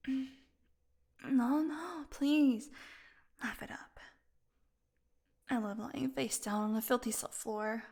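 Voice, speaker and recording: An actress plays a captive vampire woman with a fairly high, breathy voice. She speaks slowly and wearily, slipping into whispers. Her intimate roleplay delivery is sardonic, teasing and edged with hunger. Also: a little mellow. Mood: groggy, sarcastic. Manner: sarcastic, breathy murmurs, drawn-out weary delivery. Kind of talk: intimate audio roleplay acting.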